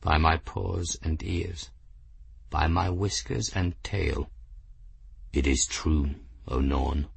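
A fierce warrior cat prince acted by a male audiobook reader, very low and unhurried. He speaks formally, with proud defiance and quiet menace, close and dry. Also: steady tone, vocal fry, slightly rough, dry recording, full character voice. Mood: solemn. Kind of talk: fantasy audiobook character voice.

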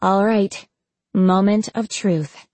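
A woman voicing an eager young female dragon scientist in an audiobook, chattering in an excited, inquisitive, rambling way. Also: mid-range voice, slow pace, no echo, lightly acted. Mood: careful.

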